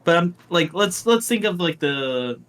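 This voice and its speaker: A man's voice: fairly high pitch, expressive, measured pace, fairly bright tone. Guy rambling in casual chat, kinda hesitant, faint noise behind him.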